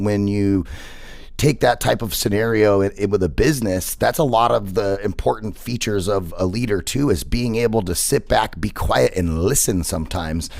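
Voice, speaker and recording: A man's voice: lowish voice, gravelly, dry recording. A man, hosting a radio interview show in casual chat, rambling with many fillers and repeated words. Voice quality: big voice. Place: radio studio.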